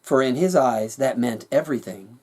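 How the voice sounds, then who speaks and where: A man narrating his own rhyming fantasy story with a measured, dramatic storyteller's delivery. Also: medium pitch, dark tone. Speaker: author reading their own work.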